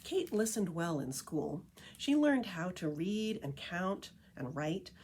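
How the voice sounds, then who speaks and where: Woman reading expressively, lower-pitched, swooping intonation. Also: animated, slow, darkish tone, slight background noise. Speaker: librarian reading a picture book aloud. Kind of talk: children's read-aloud storytelling.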